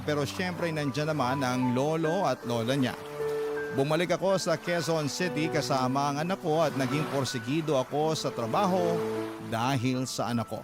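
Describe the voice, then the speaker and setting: A man narrating a listener's letter in first person, storytelling and addressing the host by name. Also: a little high. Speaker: radio drama narrator reading a letter. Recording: narration over loud music.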